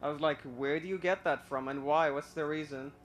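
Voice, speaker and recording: A man's voice: fairly high pitch, steady tone, a little fast, a little hiss or hum. A young man from Iraq calling in to a live stream, telling his story hesitantly with many fillers and restarts. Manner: nervous, admits stage fright. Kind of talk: casual personal story.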